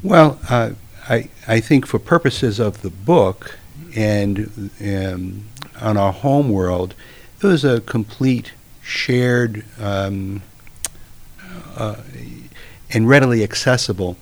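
A middle-aged man with a Northeastern US accent and a fairly low voice speaks as an interview guest. He explains his thoughts in a measured, halting way, reflecting as he goes. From Brooklyn, New York, USA. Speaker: interview guest, author and former physician.

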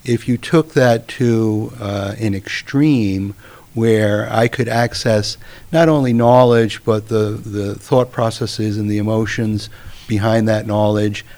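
A middle-aged man from Brooklyn with a Northeastern US accent, giving reflective interview answers in a measured, halting, explanatory way. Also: fairly low pitch, darkish tone. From Brooklyn, New York, USA. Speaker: interview guest, author and former physician.

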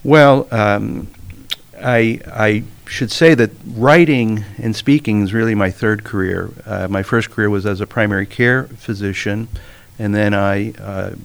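A middle-aged man with a Northeastern US accent and a fairly low voice speaks as an interview guest. He explains his thoughts in a measured, halting way, reflecting as he goes. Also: fairly even intonation, vocal fry. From Brooklyn, New York, USA. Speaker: interview guest, author and former physician.

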